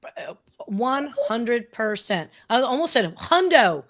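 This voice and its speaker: A woman's voice: on the high side, very animated, measured pace, fairly bright tone. Chatty, enthusiastic woman podcast guest. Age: middle-aged. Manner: enthusiastic, chatty, emphatic, checks in with "right?". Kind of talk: casual conversational interview.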